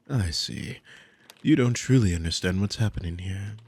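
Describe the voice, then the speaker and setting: A man's voice: low-pitched, takes it slowly, vocal fry, a little mellow, slightly rough, no echo, heavily acted. A man playing a dominant, possessive demon in an ASMR roleplay, mockingly amused and condescending, with teasing, formal, theatrical speech. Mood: mocking, menacing. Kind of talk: ASMR audio roleplay spoken to the listener.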